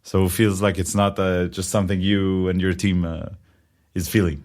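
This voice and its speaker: A man's voice: low voice, flat delivery, normal speed, darkish tone. A man with an Israeli accent co-hosting a podcast, chatting casually and joking about himself. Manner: chatty, joking, self-deprecating. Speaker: podcast co-host.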